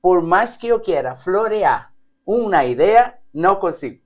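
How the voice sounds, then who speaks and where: A man's voice: high-pitched, big pitch swings, measured pace. A man from Spain speaking with a Spanish accent, hosting a podcast interview in a direct, simple way. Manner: direct, simple phrasing, frequent laughs. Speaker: host and mentor.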